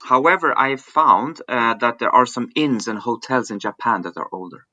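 A man's voice: medium pitch, expressive, moderate pace, sharp tone, dry recording. A man in his forties from Switzerland, a guest on a podcast, answering questions thoughtfully and often repeating words as he searches for the phrasing. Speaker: podcast guest, digital business executive. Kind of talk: conversational interview answers.

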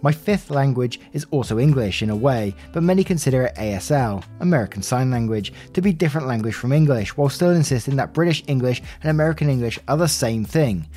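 Man, podcast narrator, mid-pitched, mellow tone, expressive read-aloud storytelling, faint music underneath. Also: fast, few pauses. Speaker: podcast host reading out online posts. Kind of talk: reading a written story aloud with brief asides.

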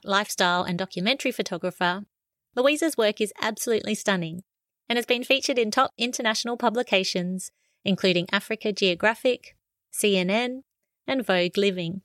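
A woman hosting an interview show, reading a scripted introduction and asking questions in a clear, measured way. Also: mid-range voice, fairly bright tone, dry recording, studio quality. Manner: clear, measured presenter delivery. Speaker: podcast host.

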